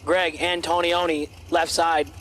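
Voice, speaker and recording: Hoarse drag-race announcer, flat delivery. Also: male voice, on the high side, measured pace, a little hiss or hum. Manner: clipped, terse calls of lanes, dial-ins and reaction times. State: losing his voice, hoarse. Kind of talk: race commentary. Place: outdoor drag strip.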